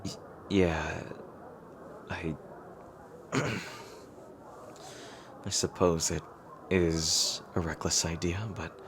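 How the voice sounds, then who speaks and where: Deep-voiced earnest young-hearted rival king, played by a man. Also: slight background noise. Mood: flustered. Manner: hesitant, halting, earnest. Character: earnest lovestruck rival king proposing to an enemy knight. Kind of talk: romantic audio roleplay acting, intimate ASMR.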